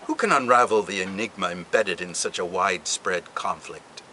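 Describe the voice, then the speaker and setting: A man reading a book passage aloud, with an expressive, deliberate delivery and dramatic pauses. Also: low-pitched, slight background noise, low-quality recording. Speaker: reader. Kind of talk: literary reading.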